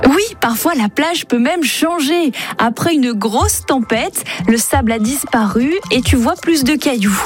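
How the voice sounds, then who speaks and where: A woman's voice: sits high, lively intonation, a little slow, hardly any pauses. A woman with a French accent telling a vivid explainer for children as a radio columnist, speaking directly to the listener. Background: soft music.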